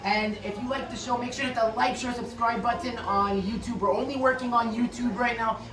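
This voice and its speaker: Booming guy hosting a podcast in a gym office, no mic, roomy and distant sound. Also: fairly even intonation, a little fast. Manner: loud, joking and informal, swears freely. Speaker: podcast host. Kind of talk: casual chat. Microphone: no microphones, voice picked up from a distance. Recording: echoey room, voice picked up from afar.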